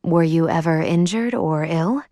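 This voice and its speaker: A woman voicing a polite, analytical female android in a video game, with formal, measured diction and a caring, fussy manner. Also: sits low, mellow, no room sound, clean studio sound. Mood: concerned, fussy.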